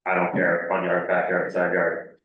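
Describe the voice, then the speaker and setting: An American man giving informal, plain-spoken public comment as a resident at a town meeting, repeating himself and halting a little. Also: deep voice, completely flat delivery. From Connecticut, United States. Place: indoor public meeting room. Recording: very echoey meeting room, distant muffled mic.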